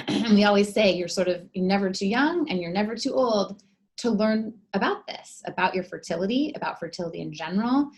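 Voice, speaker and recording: A woman fertility doctor giving an educational talk, explaining clearly with frequent fillers. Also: medium pitch, animated, normal speed, rough recording.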